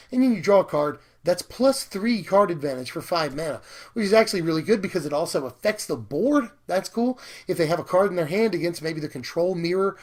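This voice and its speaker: An excited young man, a little hoarse from losing his voice, chatting casually about new cards with lots of fillers. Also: high-pitched. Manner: enthusiastic, talkative, fillers and laughs. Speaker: card game video host.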